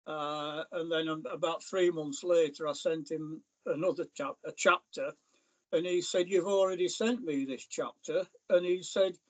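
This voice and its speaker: Plain-spoken older British storyteller. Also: male voice, on the high side, poor audio. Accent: British English. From Britain. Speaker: guest, falconer and author. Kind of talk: casual interview talk.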